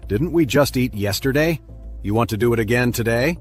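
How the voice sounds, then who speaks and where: Unassuming young son-in-law, played by a male narrator, relaxed and easygoing, everyday male voice, serial fiction. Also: mid-range voice, brisk, soft background music, close to an everyday voice. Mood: amused, friendly.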